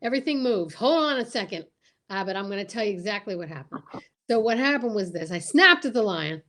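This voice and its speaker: A woman's voice: mid-range voice, animated, brisk, a bit bright, voice pushed down, close to an everyday voice. A woman playing a cowardly male comic sidekick who blusters, stammers and stalls in an old-time radio comedy sketch. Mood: nervous bluster.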